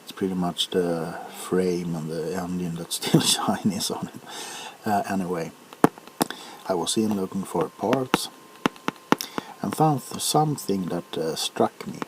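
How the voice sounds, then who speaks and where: A man hosting a casual, rambling ASMR video, talking in a halting, unhurried way while he handles and taps items. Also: deep voice. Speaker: video host showing items. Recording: clear background noise with clicks. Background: tapping, clicking and crinkling of packaging.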